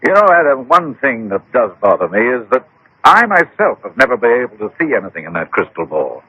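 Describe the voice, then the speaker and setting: Casting: trusting American business type, middle-aged, sharp rough-edged voice, earnest, dull vintage radio sound. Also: male voice, mid-range voice, very animated, a little fast, full character voice. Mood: grateful, earnest. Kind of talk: radio drama acting. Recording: dull, band-limited old radio broadcast.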